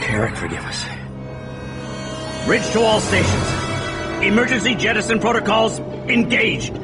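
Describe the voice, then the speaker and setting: Urgent starship bridge commander, dramatic swings. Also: male voice, sits high, very animated, a little slow, loud music behind the voice. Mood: grieving, then alarmed. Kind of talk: sci-fi video game acting.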